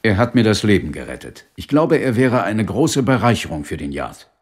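An actor playing an earnest, steady police inspector in a German audio drama, serious and restrained. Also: male voice, on the low side, normal speed, slightly rough.

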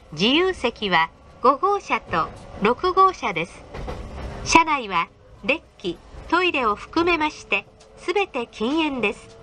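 A woman's voice: sits high, sharp tone. A woman giving a scripted train announcement in a polite, formal, carefully enunciated way. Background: faint train running noise.

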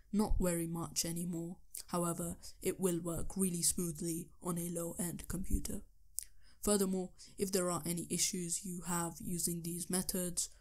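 A young man with a sore, hoarse throat, talking through a computer tutorial step by step, with the odd um. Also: sits high, a little mellow. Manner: earnest step-by-step instructions with ums. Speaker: tech tutorial youtuber. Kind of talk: screen-recorded tutorial voice-over.

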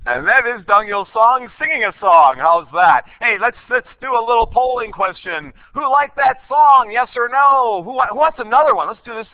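A man hosting an online course session, lively and chatty as he polls the audience and hands off to a guest. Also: extremely high pitch, moderate pace, few pauses, dry recording. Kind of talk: upbeat webinar hosting. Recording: tinny phone-like web audio.

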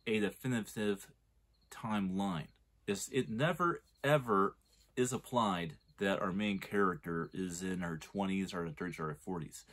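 A man in his forties giving a casual, rambling film review, often restarting his sentences as he argues a point. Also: on the low side. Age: 40s. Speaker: movie reviewer.

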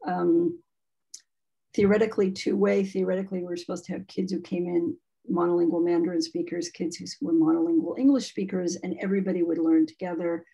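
Frank, chatty woman with a husky, mellow voice. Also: fairly low pitch, little pitch movement, brisk, lo-fi sound. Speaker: guest speaker on immersion schooling. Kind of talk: casual video-call talk. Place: home, speaking over a video call. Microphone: video call.

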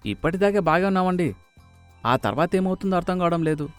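Guy putting on a touchy defensive husband in a telugu cartoon, raised pitch, indignant, bit of music. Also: animated, brisk, no room sound. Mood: wry. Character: irritable husband, defensive and argumentative. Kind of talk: animated moral story dubbing.

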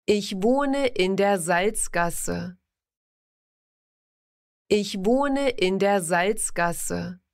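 A woman slowly reading simple example sentences for a language lesson, pronouncing each word carefully and repeating every sentence. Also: medium pitch, animated. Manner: careful, evenly paced, clearly enunciated.

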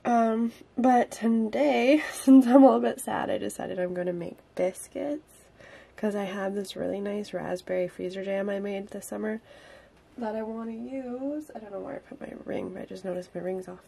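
A young woman in her twenties vlogging casually from her cozy wooden home, chatty and upbeat and a little hoarse from a cold. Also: mid-range voice, normal speed, warm tone. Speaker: vlogger and songwriter. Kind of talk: casual chat to camera. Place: cozy wooden home kitchen and living room.

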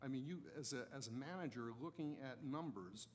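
A man's voice: medium pitch, a little fast, a little mellow, a little hiss or hum. A man speaking as a council member in a meeting, blunt and insistent as he argues. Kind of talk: critical discussion at a public budget meeting. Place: council chamber.

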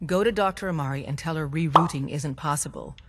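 A woman's voice: sits low, fast, big-room sound, background noise, close to an everyday voice. An actress voicing a businesslike female resistance leader giving orders in a game. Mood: briefing.

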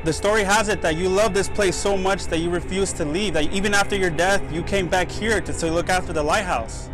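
A young man with a slightly high voice. He talks casually as a vlog host investigating on location outdoors. Soft music plays faintly behind him. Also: steady tone, brisk, poor audio. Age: young adult. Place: outdoors beside a lighthouse building.

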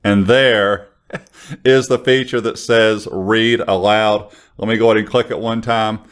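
Male tutorial host, 50s, lowish voice, conversational, close studio mic. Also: steady tone, measured pace, clear, resonant tone. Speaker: software trainer. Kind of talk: casual tutorial to camera. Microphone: large studio condenser microphone on an arm, close.

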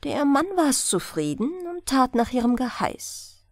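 A woman narrating a fairy tale as a bedtime audiobook, in an expressive, unhurried storytelling manner. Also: high-pitched, no echo, studio quality.

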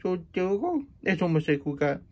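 A man voicing a childlike, good-natured hedgehog plush puppet with a husky voice, reading a picture book with halting, folksy asides. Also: sits high, sing-song, warm tone. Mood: pleased, musing. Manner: speaks of self in third person, halting, folksy. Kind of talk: puppet storytime read-aloud with chatty asides.

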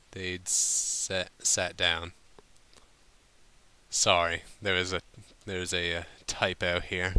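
A man's voice: deep voice, pushed and bright, smooth. A man reading a story aloud informally, sometimes stumbling over his words and breaking off to comment. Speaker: fan fiction reader.

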